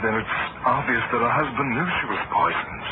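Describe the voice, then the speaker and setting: An actor playing a nervous, defensive hospital doctor, earnest and protesting, in old-time radio drama style. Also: male voice, mid-range voice, a little fast, lightly acted. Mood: grave. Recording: dull, very noisy old radio recording.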